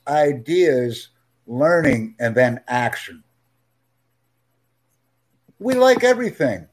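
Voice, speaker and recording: A man speaks at a deliberately slow pace, with a slightly raised pitch. He is a tutor who talks in a friendly, emphatic way and often repeats himself for clarity. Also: darkish tone. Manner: speaks slowly and clearly, friendly, emphatic repetition. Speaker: English tutor. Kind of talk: slow, clear teaching talk.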